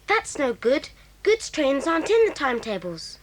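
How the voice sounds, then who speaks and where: A woman's voice: sits high, sing-song, moderate pace, poor audio. A woman voicing an eager child who explains ideas in a children's audio drama. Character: clever schoolchild club member, eager.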